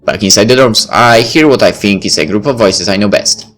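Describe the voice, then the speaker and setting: A man's voice: on the low side, a little fast, a bit bright. A man reading a first-person story aloud as narration, with the occasional stumble. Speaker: video creator reading a visual novel aloud. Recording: faint music behind the voice. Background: faint music.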